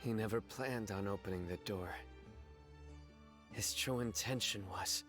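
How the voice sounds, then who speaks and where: An actor playing a stern, stoic young investigator in a video game, speaking in a grave and deliberate manner. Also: male voice, lowish voice, loud music behind the voice, close to an everyday voice. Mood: stern, probing. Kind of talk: dramatic video game voice acting.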